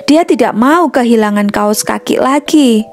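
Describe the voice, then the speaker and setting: A woman's voice: a little high, expressive, measured pace, a little mellow. A woman reading a scripted children's story as a storyteller. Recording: music behind the voice. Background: music.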